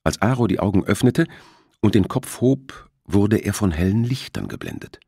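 A man with a husky voice reading a thriller novel as an audiobook narrator. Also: fairly low pitch, lively intonation, moderate pace, warm tone, dry recording, clean studio sound.

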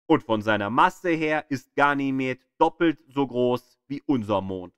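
A man's voice: mid-range voice, animated, unhurried, fairly bright tone, smooth, no room sound. A man reading scripted educational narration as an audio guide, drawing out key words for dramatic emphasis.

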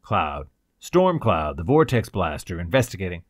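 A man voicing a brisk, fearless investigator who snaps out clipped orders in a pulp science fiction audiobook. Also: low-pitched, very animated, no echo, studio quality, voice pushed down. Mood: curt, commanding. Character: crisp, commanding male investigator, brisk and fearless.

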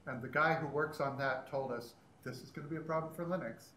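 Male panelist, halting and unscripted delivery, reverberant meeting room. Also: fairly high pitch, steady tone, sharp tone. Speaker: panelist, standards group member. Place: conference room panel before seated audience. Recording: echoey room, faint background noise.

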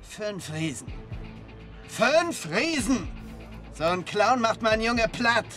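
High-pitched cocky street racer villain. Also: male voice, very animated, takes it slowly, full character voice. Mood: boastful, dismissive. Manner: taunting, boastful, sneering. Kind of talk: dubbed German game cutscene acting. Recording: loud music over the voice.

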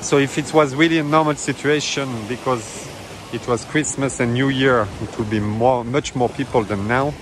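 A man's voice: medium pitch, steady tone, normal speed. Chatty man on a windy beach. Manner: chatty, non-native phrasing, fillers. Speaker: travel vlogger. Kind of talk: casual walking-tour commentary. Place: outdoors on a tropical beach. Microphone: handheld phone camera. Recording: outdoor phone audio with surf noise. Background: waves and wind.

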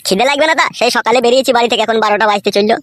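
A man's voice: extremely high pitch, rapid-fire, a bit bright, no echo, clean studio sound. A man voicing a brash, foul-mouthed young cartoon villager with a rural West Bengal accent, grumbling in crude comic banter. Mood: irritated.

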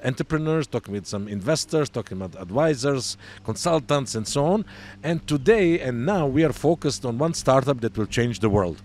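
A middle-aged man hosting a conversational podcast interview, with halting phrasing and repeated words. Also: mid-range voice, darkish tone. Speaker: podcast host. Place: outdoor pop-up podcast booth at a conference. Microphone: boom-arm podcast microphone, close. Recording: outdoor event ambience behind voice. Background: outdoor conference ambience.

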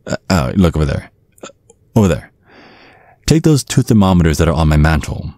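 A man plays a centuries-old vampire father with an extremely low voice, recorded close and dry. He is courtly and measured, with polite menace under every word, in an ASMR audio roleplay. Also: sing-song, mellow, dry recording. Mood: polite, boastful. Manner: measured, politely threatening, formal.

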